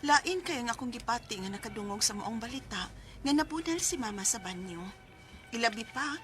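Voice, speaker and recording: A woman's voice: a little high, lightly acted. A Filipino woman with a Cebuano accent playing a devoted, anxious daughter in an emotional radio drama. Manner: emotional, pleading. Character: devoted, anxious grown daughter recounting her mother's illness. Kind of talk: radio drama acting and letter narration. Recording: radio drama with background music.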